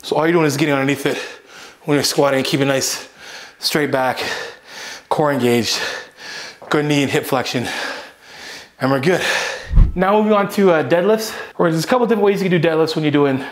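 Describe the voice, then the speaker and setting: A man in his thirties coaching viewers through a kettlebell leg workout in his small home gym. His voice sits a little high for a man, and he talks in a loose, explanatory way between sets, recorded on a nearby camera mic. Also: brisk, long pauses. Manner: relaxed, explanatory, talks while exercising. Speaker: fitness coach. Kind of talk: casual workout instruction.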